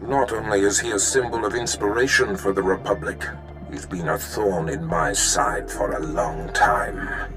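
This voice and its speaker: A man playing an imperious, scheming Sith lord in a video game, grave, deliberate and formal. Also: low voice, steady tone, music in the background. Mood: cold, menacing. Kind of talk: scripted video game dialogue acting.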